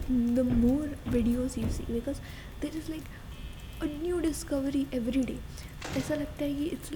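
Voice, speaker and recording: A woman with an Indian accent voicing an excited girlfriend in an ASMR roleplay, rambling eagerly in soft, breathy half-whispers. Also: a little high, natural voice. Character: excited girlfriend gushing about game lore to partner. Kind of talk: ASMR roleplay, soft casual chat in Hinglish. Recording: noticeable background noise under voice. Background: ambient noise, video game playing.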